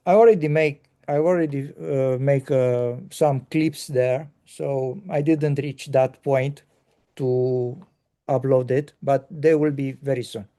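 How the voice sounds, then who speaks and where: Slow, halting man with a mellow voice. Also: mid-range voice, steady tone. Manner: halting, pausing often with fillers. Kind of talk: casual conversation.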